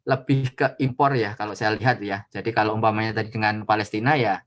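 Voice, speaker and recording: A man with a fairly low voice answers as a guest lecturer. He explains things informally and somewhat hesitantly, softening his points with little tags. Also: steady tone, quick pace. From Indonesia. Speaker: trade attaché, guest lecturer.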